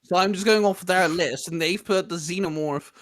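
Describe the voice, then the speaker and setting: A man hosting a casual fan discussion, relaxed and steering the talk through a ranked list. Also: high-pitched, moderate pace. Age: adult. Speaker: host leading the discussion.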